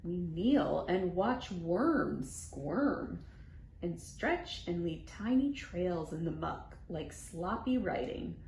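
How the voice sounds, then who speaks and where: A woman reading a picture book aloud to young children as a storytime host, in an animated, sing-song way with deliberate phrasing and playful sound words. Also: fairly low pitch, vocal fry. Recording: roomy echo, faint background noise.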